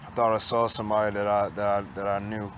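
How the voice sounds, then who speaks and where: A man in his late twenties talking in a casual confessional monologue, halting and repetitive, restarting often and swearing, with a resigned tone. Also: lowish voice, level tone, fairly bright tone, slight background noise. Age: late 20s. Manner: resigned, downcast tone. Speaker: vlogger. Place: outdoors on a college campus.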